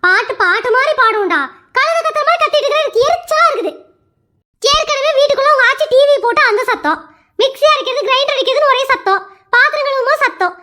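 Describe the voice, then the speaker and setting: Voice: very high-pitched, bright, forceful tone, exaggerated voice. A performer voicing a short-tempered middle-aged mother who scolds and complains. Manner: scolding, exasperated.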